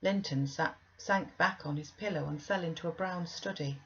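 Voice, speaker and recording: Casting: female audiobook narrator for classic fiction, deep register, mildly lo-fi recording. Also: normal speed, a bit bright. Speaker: audiobook reader. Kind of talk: scripted literary narration.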